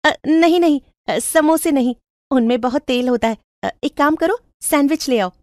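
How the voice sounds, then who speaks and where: A woman voicing a rich, warm-hearted, bossy young woman in storybook cartoon dialogue, animated and affectionate. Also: sits high, moderate pace, dry recording, clean studio sound, lightly acted. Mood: friendly, chatty.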